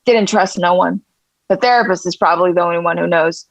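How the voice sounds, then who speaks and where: A woman's voice: lowish voice, a little fast, vocal fry, dry recording. A woman playing a brash, streetwise male gangster rapper in a script table read. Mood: confiding. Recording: dull, muffled lo-fi sound.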